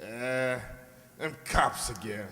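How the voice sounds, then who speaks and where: A man's voice: mid-range voice, takes it slowly, bright, forceful tone, echoey room, in a large hall. An actor playing a jumpy, defensive male crook who snaps at police in a detective radio play. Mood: surly, defensive. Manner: defensive, snapping.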